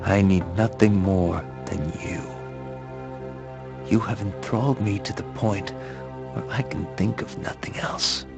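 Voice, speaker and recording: Casting: lovesick game hero, fairly deep, measured, pleading, formal, scored with music. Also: male voice, fairly even intonation, gravelly. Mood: yearning. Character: lovesick, devoted man pleading with his beloved. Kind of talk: video game cutscene acting.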